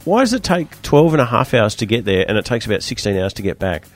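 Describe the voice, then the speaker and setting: A man hosting a technology radio show, chatting casually with a croaky, hoarse voice and rambling on animatedly. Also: mid-range voice, soft background music. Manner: rambling, animated, quick-talking.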